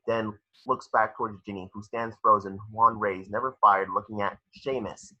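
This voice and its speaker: Forceful, husky man narrating a screenplay. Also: fairly low pitch, lo-fi sound. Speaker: stage directions narrator. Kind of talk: dramatic screenplay read-through.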